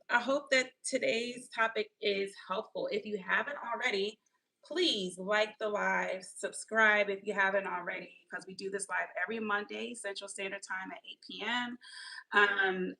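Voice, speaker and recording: Bright-voiced woman coach, a little nasal. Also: mid-range voice, creaky, rough recording. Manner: explains slowly, repeats definitions, checks in with "okay?" and "right?". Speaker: mindfulness coach and livestream host. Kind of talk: live-streamed mindfulness teaching.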